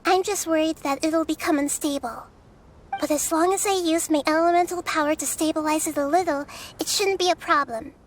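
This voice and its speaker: A woman voicing a wise, caring young girl goddess who speaks calmly and gently in a video game. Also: high voice, fairly quick, slight background noise, close to an everyday voice. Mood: gentle. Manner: calm, gentle, reassuring. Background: game music.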